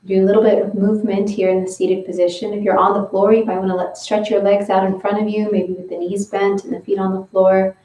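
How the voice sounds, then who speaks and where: A woman leading a guided breathing and stretching session, giving slow, gentle step-by-step instructions. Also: mid-range voice, flat delivery, far from the mic. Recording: roomy, from away from the mic.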